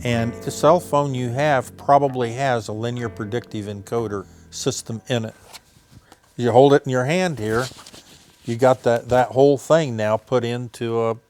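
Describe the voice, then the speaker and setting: A man in his seventies with a mid-pitched voice talks as an interviewed engineer. He reminisces and explains things plainly, while guitar music plays clearly behind him. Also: steady tone, normal speed, a little mellow. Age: 70s.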